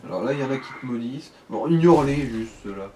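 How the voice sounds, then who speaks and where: Mellow man, distant, advising a gamer. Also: medium pitch, expressive, fast. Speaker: gaming companion giving advice. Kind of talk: casual chat over a video game. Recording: distant mic, faint background noise.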